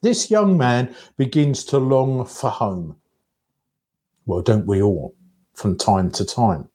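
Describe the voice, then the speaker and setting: A man giving a reflective sermon in a measured, contemplative way, breaking his thoughts into short phrases. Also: medium pitch, dark tone. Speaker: preacher.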